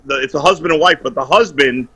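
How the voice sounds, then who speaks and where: A man calling in to a sports talk show, loud, blunt and opinionated, bantering casually over a video call. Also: fairly high pitch, quick pace, breathy edge. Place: remote video call while on vacation.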